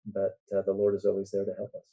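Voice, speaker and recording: Muffled, very dark-voiced man in conversation. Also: sits low, monotone, quick pace. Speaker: guest author. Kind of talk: conversational interview answers. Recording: muffled, dull band-limited sound.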